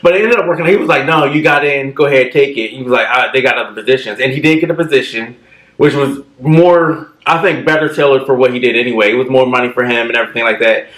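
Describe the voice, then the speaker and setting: A man's voice: mid-range voice, fast. Thirty-something guy chatting laid back, says you know a lot, echoey room. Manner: relaxed storytelling with many fillers. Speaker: co-host. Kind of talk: casual chat.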